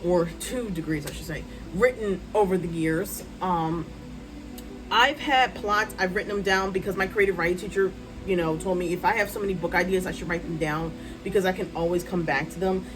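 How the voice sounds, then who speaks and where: A woman in her thirties, a writer, chatting casually to her phone's front camera at home, loud-voiced and rambling with many ums and asides. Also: fairly low pitch, fairly quick, music in the background, low-quality recording. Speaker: writer vlogger. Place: hot room at home with a fan.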